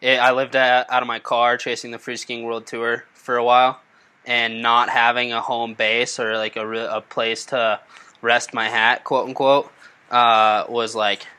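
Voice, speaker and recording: A man in a casual interview conversation speaks haltingly, often stopping to correct himself. His pitch stays flat and even. His voice is smooth and bright.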